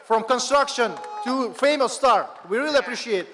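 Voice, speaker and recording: High-voiced Kazakh fan in a hall. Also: male voice, normal speed, bright, forceful tone. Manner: simple English, enthusiastic. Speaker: fan asking a question. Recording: echoey hall with faint noise.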